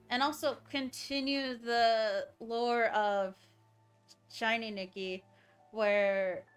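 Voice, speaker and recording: A woman with a fairly high voice comments casually on a game she is streaming. She muses and thinks aloud as she goes. Faint music runs underneath. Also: a bit bright. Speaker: game livestreamer.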